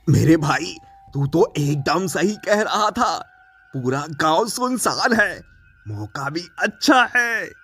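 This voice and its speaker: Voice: medium pitch, big pitch swings, slow, fairly bright tone, husky, voice pushed down, exaggerated voice. A performer voicing evil ghost brothers in a cartoon, plotting and gloating with menacing laughs. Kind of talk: cartoon voice acting.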